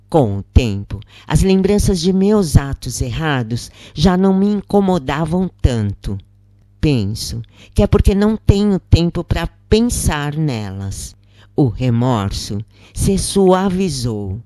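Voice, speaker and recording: A narrator reading a scripted first-person account in measured phrases with pauses. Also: mid-range voice, warm tone, no echo, clean studio sound.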